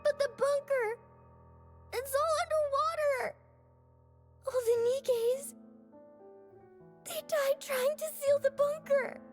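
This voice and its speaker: A woman's voice: extremely high pitch, steady tone, takes it slowly, pauses often, soft background music. A woman voicing a worried little girl who speaks in a childlike, pleading way. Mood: distraught. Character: worried little girl missing her dad.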